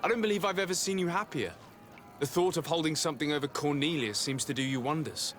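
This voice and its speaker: An actor playing an earnest young noble envoy in a video game, speaking directly and with formal wording. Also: male voice, on the high side, fast talker, a bit bright, faint music behind, natural voice. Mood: wry. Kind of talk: video game cutscene acting.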